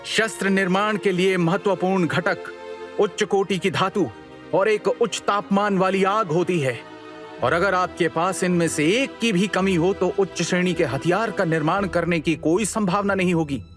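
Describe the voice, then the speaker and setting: A man's voice: sits high, normal speed, natural voice. A man voicing a bitter, sarcastic young captive prince who reasons back at his captor in an audio drama. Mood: bitter sarcasm. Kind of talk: audio drama character acting. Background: background music.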